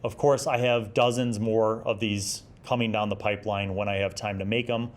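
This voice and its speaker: A man's voice: lowish voice, steady tone, normal speed. A middle-aged man giving casual, conversational commentary as a video host, thinking aloud in a rambling way with self-deprecating asides. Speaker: YouTube host reviewing vintage gaming magazines.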